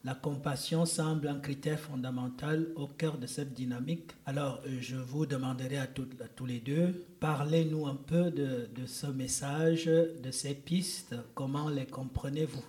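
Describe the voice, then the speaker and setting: A priest hosts a radio chat in a soft, mellow tone. He speaks gently and hesitates with small fillers between his questions. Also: mid-range voice, fairly even intonation, a little slow, hardly any pauses. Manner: gentle, warm hesitant interviewer with many fillers. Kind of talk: radio interview.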